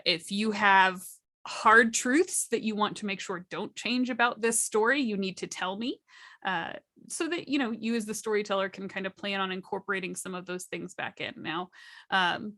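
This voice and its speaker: A woman's voice: mid-range voice, bright, forceful tone. A woman speaking as a panelist in a casual discussion, with playful, dramatic emphasis. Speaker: workshop panelist.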